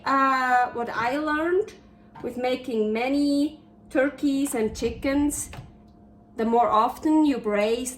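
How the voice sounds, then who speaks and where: A woman's voice: high-pitched, roomy sound, recorded from a distance, slight background noise, poor audio. A woman from Switzerland with a Swiss German accent, chatting her way through a home cooking video and often correcting herself. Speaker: cooking channel host. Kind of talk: casual cooking vlog. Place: home kitchen.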